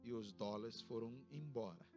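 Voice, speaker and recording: A man in his fifties from Ghana, preaching a sermon in a church service with a Ghanaian accent, with emphatic rises in pitch. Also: normal speed, music in the background. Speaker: pastor.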